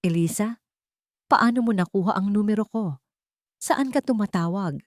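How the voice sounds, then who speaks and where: A woman's voice: medium pitch, normal speed, a little mellow, no room sound, clean studio sound, voice pushed up, close to an everyday voice. Woman voicing a startled Filipino mother. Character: startled, questioning middle-aged mother. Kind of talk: audio drama storytelling.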